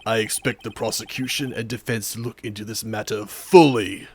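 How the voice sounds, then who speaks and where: A man's voice: lowish voice, steady tone, normal speed, slight background noise, close to an everyday voice. A man voicing a stern, formal courtroom judge, speaking with authority in an improvised video game voice-over. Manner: authoritative, declarative.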